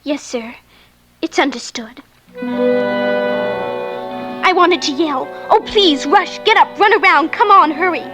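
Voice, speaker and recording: A woman's voice: very high-pitched, measured pace, pauses often. An actress playing an earnest, insistent young girl in an old radio drama, pleading with childlike urgency. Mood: tense recollection. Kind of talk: 1940s-50s radio drama acting. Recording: vintage radio recording with music behind.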